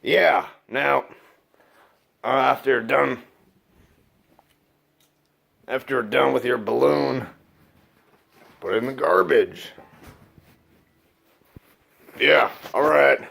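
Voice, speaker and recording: A man giving a casual, improvised how-to demonstration as a video host, halting and rambling and unsure of himself. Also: mid-range voice, gravelly, a bit bright, husky.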